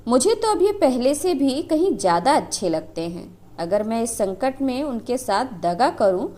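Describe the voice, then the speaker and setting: Woman doing a fiery faithful village wife, high, swoopy and slow. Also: mellow. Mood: defiant. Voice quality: impassioned, lamenting. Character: grieving devoted young village wife, proud.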